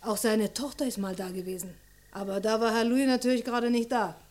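A woman's voice: a little high, steady tone, a little fast, darkish tone, natural voice. A woman acting a talkative, fond witness in a radio crime drama, conversational and emphatic. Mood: reminiscing. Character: chatty, fond female witness questioned by police.

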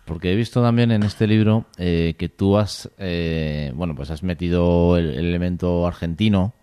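A man interviewing an author, asking gently probing questions and giving short encouraging replies. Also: low-pitched, normal speed, clean tone. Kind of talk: interview conversation.

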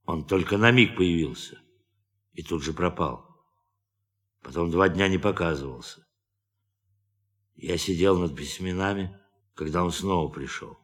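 An actor in an audio drama playing a brooding, idealistic astronaut who has turned killer, speaking in a measured, deliberate way. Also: male voice, sits low, a bit bright, in a large hall. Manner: measured, deliberate, long pauses. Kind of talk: Russian audio drama acting.

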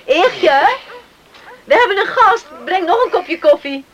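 An actress playing a brisk, hospitable farm woman in a Dutch radio play, coaxing and practical. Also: very high-pitched, expressive, fairly bright tone, a little hiss or hum, full character voice, rough recording. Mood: friendly, coaxing.

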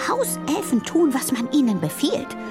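A woman's voice: high voice, huge pitch swings, normal speed, over music, pitched above the performer's own voice. A woman voicing a timid, anxious female house-elf servant in an audiobook, with a tiny, trembling, piping voice and a submissive, earnest manner. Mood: indignant, fearful. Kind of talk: audiobook character voice.

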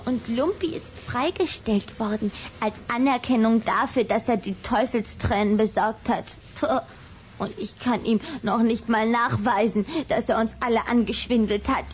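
A woman's voice: a little high, measured pace, a little hiss or hum, close to an everyday voice. An actress playing a sweet little vampire girl in a radio play, speaking in an earnest, confiding way. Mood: confiding, rueful. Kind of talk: German radio play acting.

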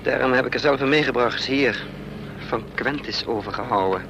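A man's voice: medium pitch, normal speed, creaky, highs cut off, close to an everyday voice. An actor playing an anxious, scheming adventurer in a radio play, muttering and hesitating as he thinks aloud. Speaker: protagonist and narrator of a radio play. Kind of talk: Dutch radio play acting. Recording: noisy old radio play recording, vehicle drone.

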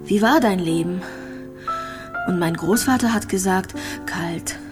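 A gravely ill young woman, played by an actress in a German radio play, with a lowish voice. She speaks softly and haltingly, melancholic and quietly fearful, with music clearly behind her. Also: natural voice. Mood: reminiscing. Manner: soft, halting, introspective. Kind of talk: radio drama monologue.

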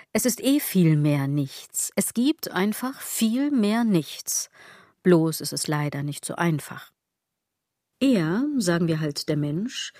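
Low-voiced sardonic, musing woman. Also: darkish tone, no room sound, clean studio sound. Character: musing, sardonic female speaker addressing the listener directly. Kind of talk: literary radio drama monologue.

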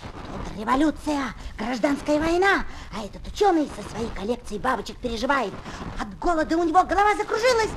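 Excitable high-voiced schoolboy. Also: female voice, a little fast. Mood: excited, dramatic. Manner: animated, emotional storytelling. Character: boastful yet tender-hearted schoolboy Tolka, would-be film actor. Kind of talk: 1970s Soviet children's radio play. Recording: noisy old 1970s flexi-disc record.

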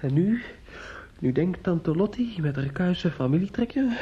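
A man acting a bitter, menacing nephew in a radio play, halting and repetitive, sneering and insistent. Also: high-pitched, lively intonation, slightly rough, heavily acted. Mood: bitter mockery. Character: bitter, menacing, grief-stricken nephew taunting his aunt. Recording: muffled, dull old radio recording.

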